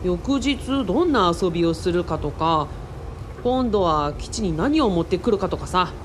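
A woman playing a boyish, excitable young man in a radio drama, speaking casually and exclaiming often. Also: lowish voice, measured pace, slight background noise. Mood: casual, nostalgic. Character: boyish, excitable young male sidekick, Kaoru.

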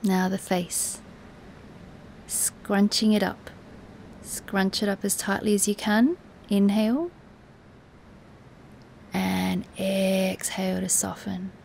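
A woman teaching guided relaxation in a soothing, soft, instructive way, with breathy, near-whispered moments and long pauses. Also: mid-range voice, little pitch movement, a little hiss or hum. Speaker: yoga nidra meditation teacher.

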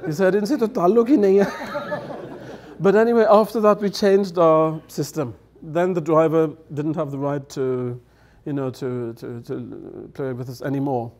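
Middle-aged guy telling funny stories on a studio set, warm mellow tone, kinda high voice. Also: moderate pace. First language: English. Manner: chatty, laughing storyteller acting out quoted voices. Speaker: guest elder. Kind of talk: storytelling anecdotes. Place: studio set with dark curtains.

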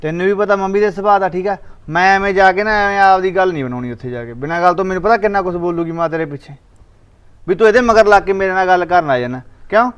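A man's voice: high-pitched, fast, clear, resonant tone, highs cut off, close to an everyday voice. A man, playing an irritable, dismissive rural husband.

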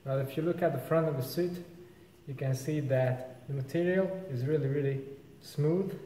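A young man in a casual vlog, explaining his bike gear in a relaxed, informal way. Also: fairly high pitch, normal speed, in a large hall, slight background noise, rough recording. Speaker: vlogger. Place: large indoor bike workshop.

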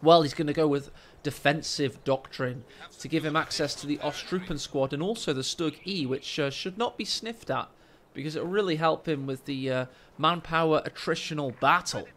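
A deep-voiced man gives lively, joking live commentary on a strategy game from a small room at home. His voice sits a little high for a man. Gunfire and battle sounds from the game run clearly beneath his words. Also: normal speed, few pauses, slight background noise. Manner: excitable, jokey, rising with the action. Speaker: game caster. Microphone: desk microphone. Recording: game sound effects under the voice. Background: game gunfire and ambience.

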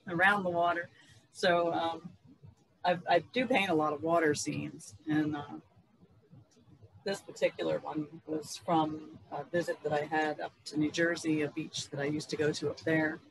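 A woman's voice: low voice, a little hiss or hum, low-quality recording. Older female podcast guest, easygoing conversational delivery, indoors on a video call. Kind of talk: casual chat.

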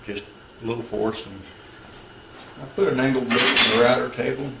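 A man's voice: medium pitch, steady tone, normal speed, gravelly. A man in his thirties explaining a woodworking build step by step in a plain, practical, instructive way, recorded in a home garage workshop. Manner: practical, instructive, matter-of-fact. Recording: roomy workshop, distant mic, tool noise.

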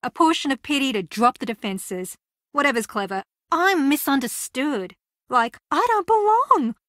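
A woman's voice: high-pitched, expressive, normal speed, fairly bright tone, no echo, clean studio sound. A woman voicing a scheming, theatrical young female reality-show contestant in a video game, sassy, boastful and combative.

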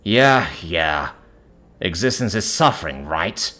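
Casting: scornful, defensive angry male, played by a narrator man, snarling delivery with big pitch swings, audiobook fiction. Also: big pitch swings, measured pace, a bit bright, soft background music. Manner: snarling, sarcastic.

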